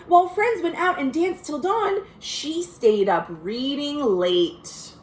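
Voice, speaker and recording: An American woman, a children's librarian, reading a picture book aloud in an expressive, sing-song way with chatty asides to young listeners. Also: high voice, takes it slowly, roomy sound, slight background noise. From United States. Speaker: children's librarian hosting a virtual storytime. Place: at home.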